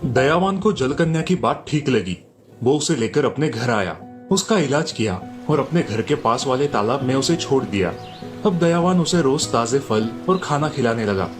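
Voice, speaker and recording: A man's voice: mid-range voice, expressive, moderate pace, faint music behind. A man narrating a scripted moral story as a storyteller.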